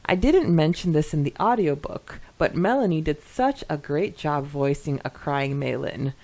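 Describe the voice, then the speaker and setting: A woman co-hosting a podcast in casual, chatty style, reading comments with hesitant pauses and giggles. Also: low voice, expressive, darkish tone. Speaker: podcast co-host.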